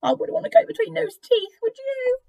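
A woman's voice: very high-pitched, big pitch swings, normal speed, gravelly, mellow, lo-fi sound, voice pushed up, heavily acted. A woman voicing nervous, gossiping forest animals in a children's storybook read aloud. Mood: alarmed.

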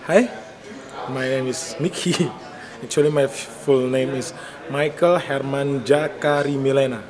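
A young man with an Indonesian accent giving a halting testimonial, searching for his English words. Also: medium pitch, steady tone, crowd noise. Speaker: singer. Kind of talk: on-camera testimonial. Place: large indoor hall with tables. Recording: music playing behind the voice. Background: music.

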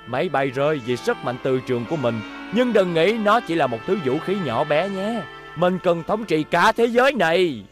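A man's voice: a little high, close to an everyday voice. A man voicing a vengeful, scheming boy robot villain in a cartoon dub, smug and gloating. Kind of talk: cartoon dubbing.

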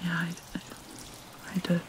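A woman playing an anguished, proud vampire mermaid princess in a dramatic ASMR roleplay, soft, breathy and halting, often near a whisper. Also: background noise, lightly acted. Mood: anguished, haunted. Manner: halting, theatrical, mournful. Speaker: roleplay voice actor.

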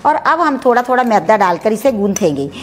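A woman's voice: fairly high pitch, sing-song, brisk, soft background music. Chatty woman teaching a home recipe. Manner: chatty, explanatory, mixes English words. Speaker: cooking channel host. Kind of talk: home cooking demonstration.

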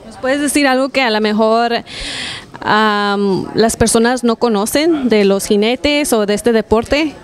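A woman's voice: fairly high pitch, unhurried. A woman reporter asking interview questions off camera at a racetrack, in a plain, friendly way with a few fillers. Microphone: handheld mic held to guests, off camera.

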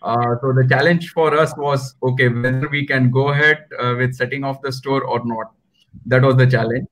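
A man's voice: medium pitch, fairly even intonation, normal speed, fairly bright tone, rough recording. A man with an Indian accent speaking as a retail panelist on a video call, setting out points step by step. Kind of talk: explanatory business panel talk. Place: indoor room on a video call.

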